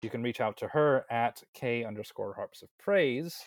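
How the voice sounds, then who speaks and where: A young man co-hosting a casual podcast, relaxed and easygoing, often stumbling over his words. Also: medium pitch, moderate pace, no room sound. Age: young adult. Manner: easygoing, stammers and restarts. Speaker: podcast co-host. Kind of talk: casual chat.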